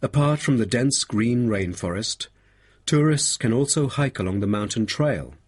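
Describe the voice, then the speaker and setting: A man playing an informative, welcoming national park tour guide in a measured, scripted talk for a listening test. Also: medium pitch, expressive, breathy edge.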